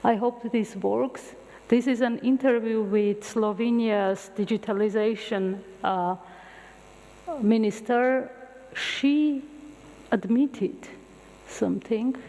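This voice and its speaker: A middle-aged woman whose first language is Armenian gives an open university lecture in English, telling stories with halting restarts. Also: fairly high pitch. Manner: animated storytelling with halting restarts. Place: large lecture hall. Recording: long hall reverb.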